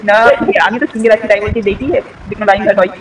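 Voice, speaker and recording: High-pitched, rapid young man, hesitant. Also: little pitch movement. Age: young adult. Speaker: prank caller. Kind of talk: improvised prank phone call in casual chat. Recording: distant mic, faint background noise.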